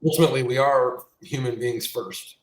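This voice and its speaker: A man's voice: medium pitch, normal speed. Chill guy hosting a podcast, kinda far from the mic, echoey room. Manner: laid-back, many fillers, agreeable. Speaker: podcast host. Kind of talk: casual interview hosting.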